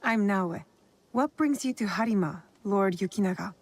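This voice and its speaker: A woman's voice: medium pitch, moderate pace, darkish tone, close to an everyday voice. An actress playing a guarded, wry young female shinobi of feudal Japan in a video game, cool and direct. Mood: polite, guarded. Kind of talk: video game cutscene acting.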